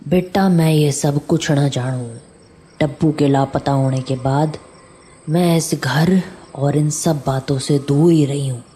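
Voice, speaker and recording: A woman's voice: low voice, steady tone, takes it slowly, dark tone, husky, heavily acted. A woman playing a guarded older village caretaker in an audio horror drama, with a rural Haryanvi accent and plain-spoken manner. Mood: guarded, uneasy. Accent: Haryanvi rural Hindi. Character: reticent older female house caretaker, wary, motherly.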